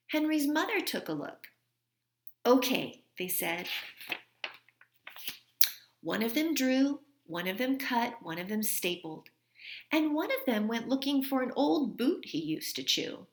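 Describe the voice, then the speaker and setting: A woman reading a children's storybook aloud in an expressive manner, like a teacher. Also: fairly high pitch, a little slow, reverberant.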